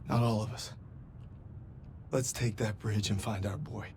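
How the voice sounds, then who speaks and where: An actor playing a young, defiant and determined American soldier in a war game, speaking earnestly. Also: male voice, lowish voice, steady tone, a little slow, darkish tone. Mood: resolute. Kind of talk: dramatic video game acting. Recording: noisy battlefield ambience with vehicles.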